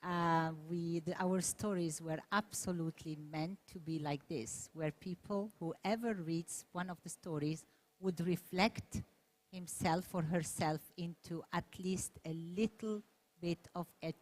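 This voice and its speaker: Panel guest, female author, lowish voice, measured and gracious. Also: poor audio. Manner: gracious, measured, some hesitations. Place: event stage.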